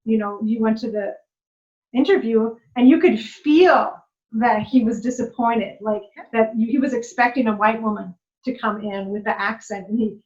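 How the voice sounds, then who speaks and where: A woman's voice: fairly high pitch, normal speed, darkish tone. Woman guest talking it through, lots of like and you know, supportive, dull muffled sound. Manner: reflective and affirming, full of "like" and "you know". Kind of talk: personal conversation. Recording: muffled lo-fi recording.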